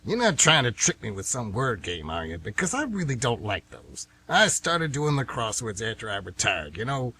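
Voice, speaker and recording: A man's voice: mid-range voice, very animated, normal speed, hardly any pauses, pushed and bright, a little hiss or hum. A man playing a stubborn, grumbling retired man of fifty-six in a radio play, folksy and defensive in his talk. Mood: reluctant, wistful. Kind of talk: amateur radio theatre acting.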